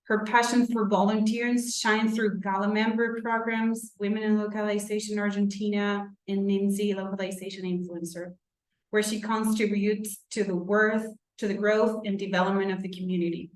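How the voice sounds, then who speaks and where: A woman hosting a virtual event, reading out introductions in a slightly halting way, correcting herself as she goes. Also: mid-range voice, flat delivery. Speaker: event host introducing panelists. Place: indoor room on a virtual event call. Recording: roomy, echoey video call audio.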